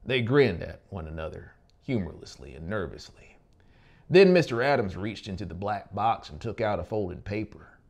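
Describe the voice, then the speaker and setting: Animated male narrator with a creaky edge. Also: lowish voice, moderate pace. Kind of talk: dramatic audiobook narration. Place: small dark indoor studio. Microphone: lapel microphone. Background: music.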